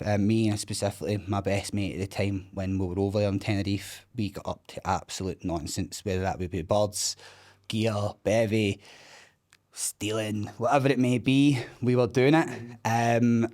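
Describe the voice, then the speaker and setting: Blunt, sweary Scottish man, lowish voice. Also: little pitch movement, normal speed. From Scotland. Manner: blunt and sweary, self-described loudmouth. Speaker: guest telling his story. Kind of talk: candid casual storytelling.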